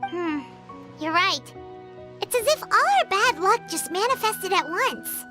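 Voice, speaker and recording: A woman voicing a tiny, childlike, cheeky fairy companion in a video game, chatty and excitable. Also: very high-pitched, measured pace, music in the background. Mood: curious.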